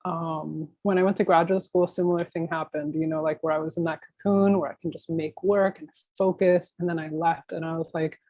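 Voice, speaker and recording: An American woman answers interview questions in an informal, meandering way. Her voice sits a little low. The sound is very dry, with hardly any room in it, as she thinks aloud like an artist chatting about work. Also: steady tone, fairly quick, dark tone, no room sound. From Miami, United States. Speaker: interview guest, new media artist. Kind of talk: casual interview conversation.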